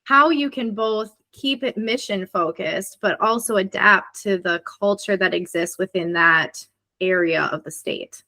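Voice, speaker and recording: A woman's voice: medium pitch, unhurried, sharp tone, no room sound. A woman with a Minnesotan accent, hosting a podcast and asking a long, rambling question full of fillers. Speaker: podcast co-host. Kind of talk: conversational interview question.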